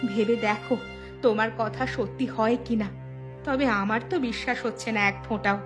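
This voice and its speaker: A woman's voice: a little high, fairly even intonation, a little fast, over music, close to an everyday voice. A woman voicing an anxious, plaintive village wife in an emotive moral cartoon. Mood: worried, reproachful. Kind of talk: Bengali moral cartoon dialogue.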